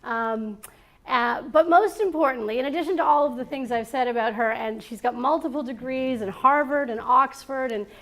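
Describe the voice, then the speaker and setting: A woman hosting a salon event, talking in a fairly high voice. Her speech is chatty and halting, full of fillers and restarts. She introduces a guest in front of a live audience. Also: rough recording. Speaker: salon host and moderator. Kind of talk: live onstage introduction and interview.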